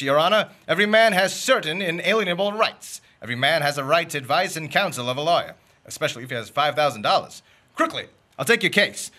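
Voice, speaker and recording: A young man playing a greedy, fast-talking male shyster lawyer who makes grand courtroom speeches full of wisecracks, in a comic radio play. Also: high-pitched, pushed and bright. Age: young adult.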